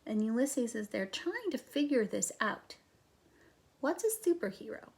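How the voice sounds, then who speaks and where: A woman reading a children's book aloud as narrator, expressively and with a slightly husky, breathy voice, explaining things to young listeners. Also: a little high, very animated, measured pace. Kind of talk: children's storybook reading with brief asides.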